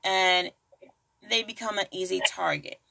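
A woman's voice: medium pitch, fairly even intonation, unhurried, vocal fry, bright, forceful tone. A woman hosting a true crime podcast in a casual, chatty way, full of asides and jokes. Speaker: podcast host.

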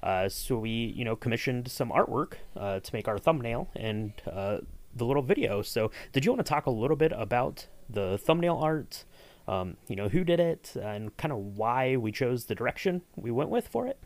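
A man's voice: medium pitch, lively intonation, normal speed, a little mellow, no echo. A man hosting a podcast, explaining things in a casual, conversational way. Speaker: podcast host. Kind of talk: casual behind-the-scenes podcast chat.

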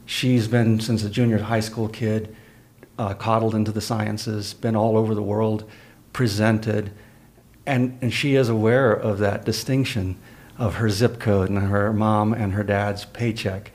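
Man, middle-aged, first language Spanish, slightly low voice, measured, matter-of-fact, casual chat. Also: flat delivery, a little mellow. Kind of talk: casual conversation.

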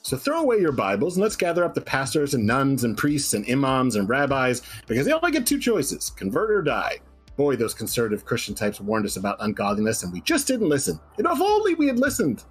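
A man's voice: medium pitch, huge pitch swings, a little fast, breathy edge, soft background music, voice pushed up. A man voicing a hysterical radio announcer preaching doom in a theatrical, ranting manner. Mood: outraged, frantic. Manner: theatrical, ranting, swooping into shouts of outrage. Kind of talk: dramatic radio broadcast reading.

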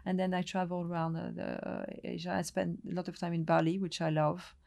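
A middle-aged woman from Lebanon talks through her stories in a loose, meandering way, full of fillers and restarts. Her voice sits low with a gravelly, creaky edge. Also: flat delivery, fairly quick, darkish tone. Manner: hesitant, many restarts and fillers, chatty storytelling. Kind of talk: relaxed interview conversation.

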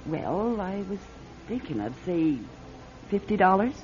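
An actress playing a weary, aging fortune-teller in a radio drama, theatrical and hesitant, with wheedling endearments. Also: female voice, medium pitch, big pitch swings, measured pace, darkish tone, faint music behind. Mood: coaxing. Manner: theatrical, hesitant, wheedling, calls people "my dear". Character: weary, shabby, aging female fortune-teller fond of her cat. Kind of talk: classic radio drama acting. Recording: muffled old radio drama recording.

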